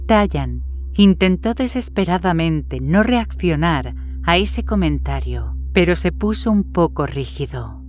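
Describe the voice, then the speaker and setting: A woman's voice: lowish voice, lively intonation, slow pace, a little mellow. A woman reading a horror story as a scripted audiobook narration. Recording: background music under the voice. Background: music.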